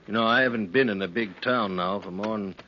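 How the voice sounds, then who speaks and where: An actor playing a weary, wistful drifter in a 1950s radio western, with a husky voice and folksy, halting, rough-hewn speech. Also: male voice, on the low side, a little hiss or hum, natural voice. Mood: wistful, curious. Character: weary, wistful male drifter of the old West. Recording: muffled 1950s radio recording.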